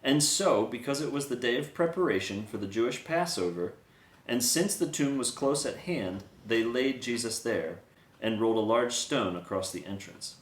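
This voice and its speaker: Male storyteller for dramatic scripture narration, mid-pitched voice, recorded in an echoey room. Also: animated, moderate pace. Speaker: storyteller, Bible reader.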